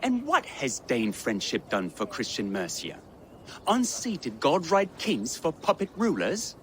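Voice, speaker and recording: A dour, devout young Saxon for a video game. He is formal and sardonic, with widely varied pitch and a faint ambience behind the voice. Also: wildly swooping pitch, measured pace, a little hiss or hum. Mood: sardonic disdain. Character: pious young Saxon man, dour, disdainful of pagans. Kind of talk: video game cutscene acting.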